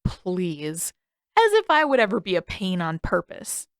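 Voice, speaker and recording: A woman's voice: fairly low pitch, wildly swooping pitch, measured pace, no echo, studio quality. A woman voicing a stubborn, sarcastic teenage boy in an audiobook, emphatic and mocking. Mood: mock-innocent.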